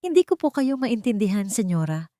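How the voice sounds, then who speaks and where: A woman's voice: on the high side, very animated, brisk, dark tone, no room sound, studio quality, voice pushed up. A woman voicing a timid, respectful young servant woman in a story drama, polite and restrained. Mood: restrained protest.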